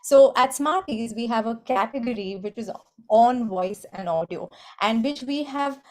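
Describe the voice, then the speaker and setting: A woman, speaking in a measured, formal corporate way as an industry body representative on a webinar panel. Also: on the high side. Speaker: trade body executive and webinar organizer.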